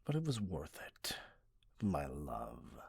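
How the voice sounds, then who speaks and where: Man acting out a husky, low-voiced obsessive Karen customer. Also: huge pitch swings, slow, no room sound, studio quality, exaggerated voice. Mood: smug, doting. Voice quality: husky, often breathy. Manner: condescending, self-righteous, cloyingly possessive. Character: obsessive, entitled middle-aged yandere customer, a "Karen" parent kidnapper. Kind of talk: ASMR roleplay monologue. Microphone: close, dry microphone.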